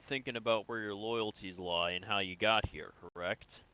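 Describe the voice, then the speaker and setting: A man's voice: lowish voice, steady tone, normal speed, bright, forceful tone, smooth. A man playing an interrogator in an improvised online game roleplay, speaking in a conversational but assertive way.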